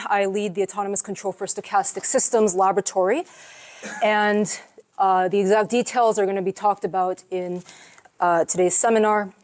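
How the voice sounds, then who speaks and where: Woman explaining research in a seminar. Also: medium pitch. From United States. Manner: relaxed, explanatory, with frequent fillers. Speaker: professor giving a seminar. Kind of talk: academic seminar lecture.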